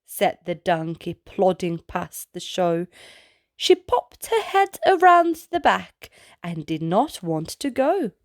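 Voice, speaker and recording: Slow, highly animated woman reading a picture book. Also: dry recording, clean studio sound. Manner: animated, with exclaimed sound-effect words; slow, deliberate delivery for young children. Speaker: children's storytime reader and host. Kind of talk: expressive read-aloud picture book narration.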